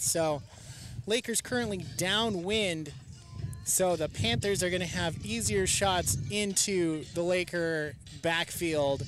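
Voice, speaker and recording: A young man doing relaxed, chatty play-by-play commentary at an outdoor soccer match, sometimes stretching his phrases out. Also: high-pitched. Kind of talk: live sports commentary. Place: outdoors at a coastal soccer field.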